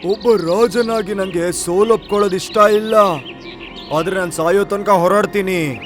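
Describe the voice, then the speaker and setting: Male actor as cartoon forest animal, high voice, earnest, worried, music behind. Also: fairly even intonation, normal speed, lightly acted. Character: worried earnest talking forest animal reporting to his king. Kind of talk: cartoon story voice acting.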